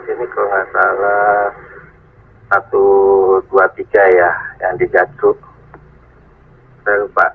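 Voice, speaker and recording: A polite, relaxed man answers on an amateur radio channel, spelling out call signs. His voice is deep, even and a little husky, squeezed through a narrow, tinny radio sound. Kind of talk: radio chat over a repeater.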